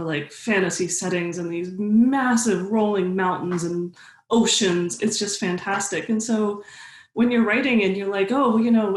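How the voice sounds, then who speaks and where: A woman's voice: mid-range voice, reverberant. A middle-aged woman, a guest author, talking eagerly on a video call interview from home. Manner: enthusiastic, quick bursts. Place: home room, indoors.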